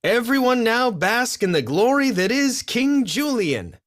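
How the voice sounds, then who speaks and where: A man's voice: extremely high pitch, sing-song, a little slow, a bit bright, voice pushed up, full character voice. A man voicing a persuasive, scheming lemur aide with a coaxing, emphatic manner in a children's storybook. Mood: rallying.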